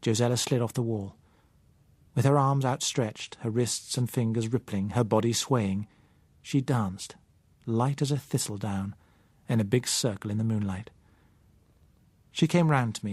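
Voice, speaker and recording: A man with a British accent reading a first-person novel as an audiobook, in a measured, literary manner. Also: lowish voice, darkish tone, breathy edge, dry recording. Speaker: audiobook narrator.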